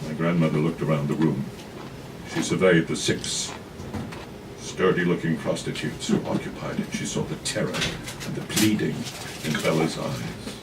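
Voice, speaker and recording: A man reading fiction aloud in a dramatic literary style, with measured, emphatic phrasing and pauses, recorded live in a café. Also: a bass voice, far from the mic, background noise. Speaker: actor reading a novella. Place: café, live before an audience.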